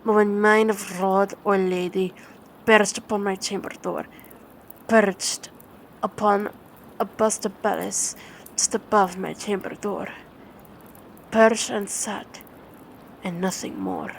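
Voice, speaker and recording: A woman reading dramatic poetry as a sleep-aid ASMR narration, in a measured, theatrical way with halting stumbles and repeated words. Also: mid-range voice, steady tone, gravelly, a little hiss or hum, poor audio.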